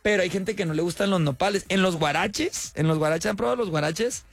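A man with a Mexican accent hosting a radio show, chatting casually with slang and quick asides. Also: sits high, faint music behind. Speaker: radio host. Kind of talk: casual radio call-in chat. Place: radio studio.

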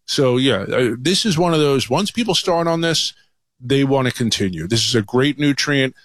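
A man's voice: mid-range voice, lively intonation, moderate pace, fairly bright tone, no room sound. Male doctor guest, medium register, conversational promotional enthusiasm, dry studio sound.

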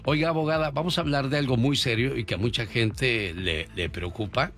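A man's voice: mid-range voice, brisk, fairly bright tone. Male radio host, mid-range voice, conversational and folksy, music underneath. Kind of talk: lively conversational radio interviewing.

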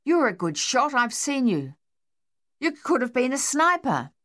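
A woman's voice: sits high, big pitch swings, a little slow, a bit bright, pitched above the performer's own voice, heavily acted. A woman reading the part of a shell-shocked young Australian soldier, his nerves gone. He pleads and rambles in a shaky, raised voice that sits noticeably above the reader's own. The delivery is strained and unsteady, like a storybook war scene read aloud. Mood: grieving.

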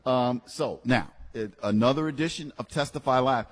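A man's voice: mid-range voice, moderate pace. A man hosting live promotional radio for a car dealership in a conversational, encouraging sales manner. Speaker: radio host. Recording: long hall-like reverb.

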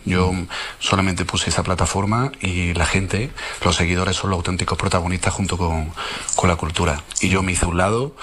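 A man's voice: deep voice, fairly even intonation, a little fast, a bit bright, a little hiss or hum. A man with a southern Spain accent, answering conversationally as a guest in an interview. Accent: southern Spain Spanish. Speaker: interview guest, cultural social media creator.

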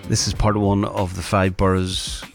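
A man's voice: sits low, monotone, moderate pace, vocal fry. A man hosting a radio music show, chatting casually and laughing easily. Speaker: radio host.

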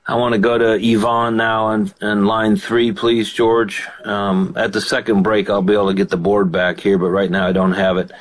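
An older man, a pastor hosting a call-in radio show, who affirms callers and prays fervently. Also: fairly low pitch. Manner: warm affirmations, fervent praying.

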